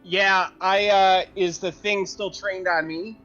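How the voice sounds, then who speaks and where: A man's voice: high-pitched, fairly even intonation, slow pace, a bit bright, faint music behind. A man playing a tabletop game, chatting casually in a hesitant, questioning way on a slightly lo-fi recording.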